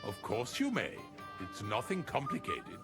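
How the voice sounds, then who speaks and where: An actor playing a boastful, wine-loving lord chamberlain in a game cutscene, hearty, pompous and overconfident. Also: male voice, mid-range voice, wildly swooping pitch, unhurried, music in the background. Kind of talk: video game cutscene acting.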